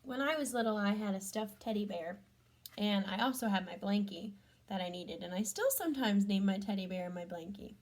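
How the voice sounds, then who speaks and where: A woman reading a storybook aloud to children, adding gentle chatty comments and questions for young listeners. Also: mid-range voice, lively intonation, brisk. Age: adult.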